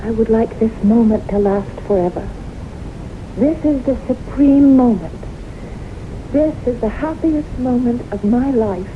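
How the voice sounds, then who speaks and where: An actress playing a haunted, guilt-ridden woman with slow, deliberate, dramatic delivery in a 1970s radio drama. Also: natural voice. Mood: rapturous. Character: haunted guilt-ridden woman recalling her doomed youth. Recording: noisy, rumbling, muffled 1970s radio recording.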